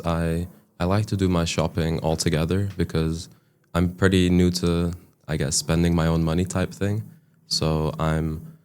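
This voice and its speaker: A man's voice: low voice, a little mellow, smooth. A young man from Turkey, a student guest, chatting casually and hesitantly with many restarts and fillers. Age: young adult. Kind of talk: casual chat.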